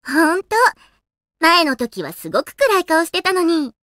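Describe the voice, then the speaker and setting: A woman voicing a polite, gentle, earnest young girl spirit in a Japanese anime-style video game. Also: extremely high pitch, big pitch swings, a little slow, dry recording, clean studio sound, full character voice. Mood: gentle, familiar. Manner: polite, earnest, soft-spoken courtesy.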